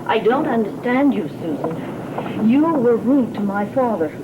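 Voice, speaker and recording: Genteel, expressive gothic-soap lady. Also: fairly high pitch, unhurried. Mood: reproachful. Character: proper married lady of a gothic household, reproachful. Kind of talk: gothic TV soap opera acting. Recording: muffled, noisy old TV soundtrack.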